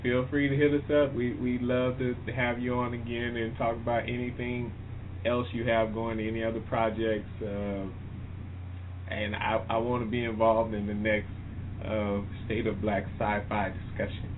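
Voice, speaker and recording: Male American interview host, medium pitch, relaxed chat format, word-repeating delivery, tinny internet radio sound. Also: normal speed. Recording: phone-like internet radio line, faint noise.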